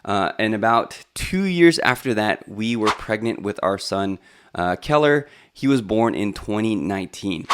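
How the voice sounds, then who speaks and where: A man's voice: fairly low pitch, lively intonation, a little slow, background noise. A man in his thirties from California, talking casually to a vlog camera about his own life, with lots of fillers and restarts. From Los Angeles, California. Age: 30s. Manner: chatty, with frequent fillers and restarts. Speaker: YouTube host.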